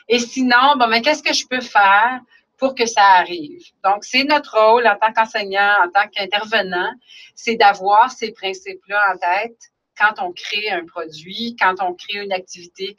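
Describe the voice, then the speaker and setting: A Canadian French woman presents a webinar in an earnest, halting way, often pausing on fillers. Her voice is bright and clear. She sits a little back from her computer mic, so she sounds slightly distant. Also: mid-range voice. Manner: earnest, many fillers and restarts. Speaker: webinar presenter on school identity building. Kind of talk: explanatory webinar talk.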